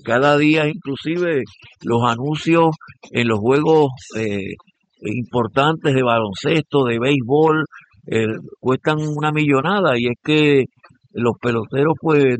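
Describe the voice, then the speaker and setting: A man hosting a business radio show, greeting phone guests and asking questions with many pauses and fillers. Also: mid-range voice, no room sound. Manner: friendly, halting with frequent fillers. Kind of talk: casual radio interview.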